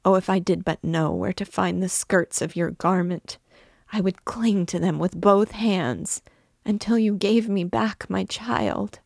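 A woman's voice: lowish voice, level tone, drawn-out words, gravelly, pauses often, dry recording, studio quality, full character voice. A woman voicing a grieving recluse mother who wails and pleads for her lost child. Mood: frantic pleading. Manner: wailing, pleading lament.

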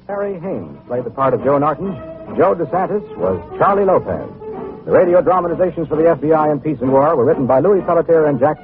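A man reading scripted credits and notices as a formal old-time radio announcer. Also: a little high. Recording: tinny old radio broadcast over music.

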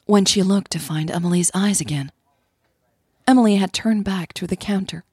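A woman narrates an audio drama in a husky, slightly breathy voice. The recording is very dry, with no room around her words. She reads as a scripted storyteller. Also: mid-range voice, moderate pace, darkish tone, dry recording.

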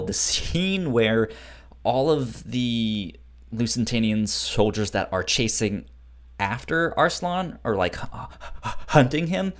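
A man co-hosts a casual podcast at a slow, halting pace. His pitch swings widely, and he often repeats words as he works toward a phrase. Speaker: podcast co-host. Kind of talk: casual chat reviewing manga.

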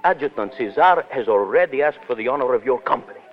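An actor playing a jovial, money-grubbing cabaret owner in a 1930s radio drama, oily and hesitant, with foreign-sounding phrasing. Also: male voice, mid-range voice, animated, quick pace, close to an everyday voice. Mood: jovial, fawning. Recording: dull 1930s radio broadcast with music.

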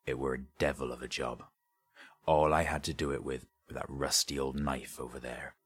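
A man's voice: a bass voice, steady tone, moderate pace, fairly bright tone. A man voicing a haunted, greedy grave robber in an audiobook story, with a confiding, colloquial way of telling things. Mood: haunted, grisly confession.

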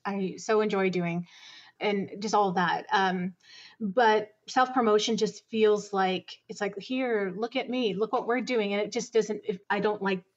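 A woman's voice: mid-range voice, steady tone, unhurried. Woman producer talking it through, kinda hesitant and modest, muffled lo-fi audio. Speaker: audio drama producer, director and writer. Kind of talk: casual interview chat.

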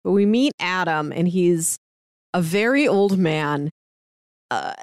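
A woman's voice: on the low side, lively intonation, takes it slowly, no room sound, clean studio sound. A woman co-hosting a podcast, talking casually through a recap of a TV series. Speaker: podcast co-host.

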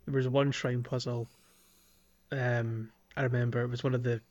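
A man's voice: medium pitch, level tone, moderate pace, a little mellow, highs cut off. A man co-hosting a podcast, chatting casually and with enthusiasm about a video game. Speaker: podcast co-host.

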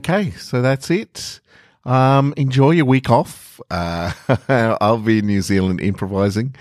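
A man's voice: medium pitch, lively intonation, a little slow, a little mellow, faint music behind. A man hosting a podcast and reading short stories as a narrator. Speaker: podcast host and story reader. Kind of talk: scripted story narration.

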